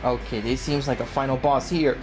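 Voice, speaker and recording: A woman's voice: rumbling low, fairly even intonation, fairly quick. A woman giving casual gameplay commentary as a streamer, slangy and reactive, talking to herself and viewers. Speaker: gaming streamer. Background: game music.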